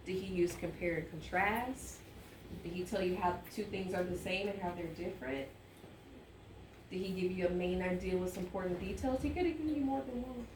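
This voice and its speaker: Woman teacher in an echoey, distant classroom recording. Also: medium pitch. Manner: instructive, questioning, pauses to prompt children. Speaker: elementary school teacher. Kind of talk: interactive read-aloud to a class. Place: indoor classroom. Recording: echoey classroom, distant mic, faint noise.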